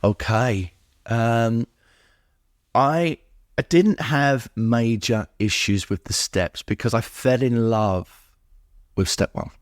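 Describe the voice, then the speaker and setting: A man's voice: mid-range voice, takes it slowly, no room sound, studio quality. Middle-aged man telling his story. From England. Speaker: podcast guest. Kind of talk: personal recovery storytelling.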